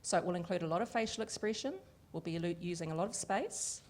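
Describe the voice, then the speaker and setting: A woman voicing a Deaf host live at an evening event, lively and chatty with frequent fillers. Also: fairly low pitch, quick pace. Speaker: sign language interpreter voicing the Deaf host. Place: event venue with an audience.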